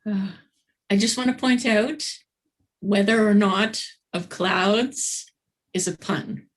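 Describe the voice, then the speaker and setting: A woman hosting an online book launch over a video call, appreciative and quick to laugh. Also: medium pitch. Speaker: event host.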